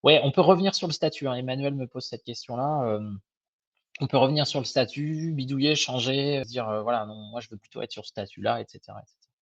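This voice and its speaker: Young guy advisor talking through a webinar, bit husky, lots of euhs and restarts, dull lo-fi call sound. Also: mid-range voice, fast talker, dry recording. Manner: hesitant, many fillers and restarts, friendly and informal. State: slightly hoarse. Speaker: microfinance advisor presenting a webinar. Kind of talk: casual live webinar presentation. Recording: dull, lo-fi web call audio.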